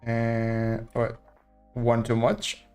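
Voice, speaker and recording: Young male streamer talking through his build, mid-pitched, faint music bed. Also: monotone, very slow, darkish tone, clear, resonant tone. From Portugal. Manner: thinking aloud while building, casual and chatty. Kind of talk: live gameplay commentary.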